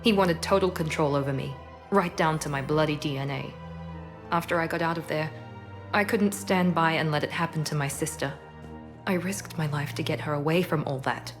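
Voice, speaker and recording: A woman's voice: low voice, normal speed, music in the background, close to an everyday voice. A woman playing a proud, guarded secret operative in a video game, speaking in a serious, controlled and earnest way. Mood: confessional, regretful. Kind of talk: cinematic video game acting.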